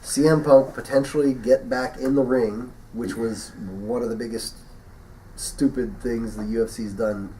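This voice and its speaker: A man's voice: mid-range voice, steady tone, a little slow, a crowd in the background. Sniffly man rambling on a podcast. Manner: rambling, laughs at his own stories, restarts often. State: getting over the flu, sniffling. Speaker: podcast co-host. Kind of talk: casual podcast chat. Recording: voice a bit far from the mic, faint hiss.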